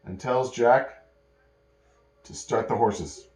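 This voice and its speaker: A man improvising a bedtime story as narrator, pausing and correcting himself as he goes. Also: mid-range voice, a little mellow. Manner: pauses and self-corrections while telling. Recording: echoey room.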